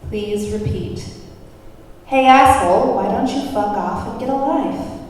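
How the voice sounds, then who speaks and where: A woman's voice: mid-range voice, a little slow, a little mellow, close to an everyday voice. A woman playing a brash, foul-mouthed, domineering thrill-seeker in a table read. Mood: goading. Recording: very echoey hall, far mic, faint noise.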